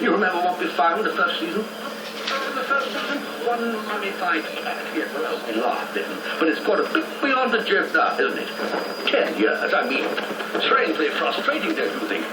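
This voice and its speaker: An actor playing a middle-aged, upper-class English aristocrat father in a radio drama, with a droll, exclamatory, theatrical manner. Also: high voice. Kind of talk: 1990s radio drama acting. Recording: noisy, roomy radio drama copy, distant.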